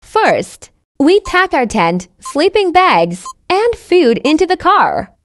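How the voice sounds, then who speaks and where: A woman reading a scripted story for language learners, with careful enunciation and identical, measured phrasing. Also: high voice, big pitch swings. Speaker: narrator of English learning stories.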